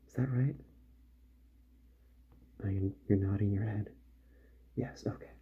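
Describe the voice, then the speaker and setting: A man doing a soft-spoken ASMR roleplay as a calm, methodical repair doctor, musing gently to himself and often drifting into a whisper. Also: fairly low pitch, measured pace, slightly rough. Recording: muffled audio with faint background noise.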